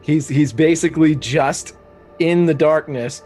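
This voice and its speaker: A man's voice: a little high, measured pace, a little mellow. Man, tabletop player, colloquial, playful, narrating his character's moves, music behind. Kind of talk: casual game chat, narrating his character's actions.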